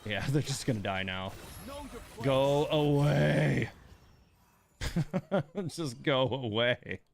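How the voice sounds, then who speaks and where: A man streams his gameplay with casual, off-the-cuff commentary. He mutters to himself and grumbles at the game as he goes, in a middling-pitched voice. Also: lively intonation, extremely slow, gravelly.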